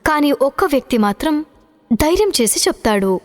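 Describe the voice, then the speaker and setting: A woman's voice: high voice, sing-song, moderate pace. A woman narrating a scripted children's story, with music behind her. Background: background music.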